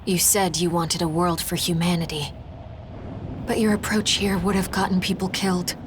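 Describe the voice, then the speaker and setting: Female actor playing young woman, lowish voice, blunt, challenging, principled, video game drama, rumbling background noise. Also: level tone, normal speed, natural voice. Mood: accusing. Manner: direct, accusing.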